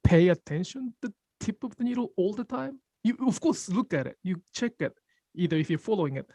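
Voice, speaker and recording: Japanese accent guy chatting casually, pauses a lot, dry sound, laughs here and there. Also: high-pitched, lively intonation, warm tone, no echo. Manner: thoughtful, pauses to search for English words, laughs often. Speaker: sashiko teacher. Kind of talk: casual group conversation.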